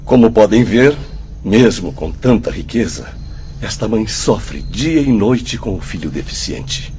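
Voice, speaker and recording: A man's voice: on the low side, big pitch swings, unhurried, a little mellow, slight background noise. An actor with a Brazilian accent playing a compassionate male spirit guide leading a group, earnest and explanatory, in a radio drama. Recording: dull sound with faint ambience.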